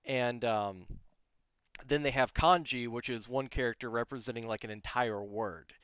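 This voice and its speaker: A man hosting a streamed podcast, chatting in a loose, rambling way and laughing often. His voice is smooth and resonant, recorded close and dry. He sits in the middle of a man's range in pitch. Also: normal speed, fairly bright tone, no room sound. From outside Germany. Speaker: podcast host. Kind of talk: casual interview chat.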